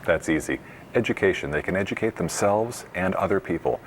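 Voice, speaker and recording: Male, very deep bass voice, interview advice, plain emphatic phrasing, noisy ambience. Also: lively intonation, a little fast. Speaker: air traffic controller and pilot.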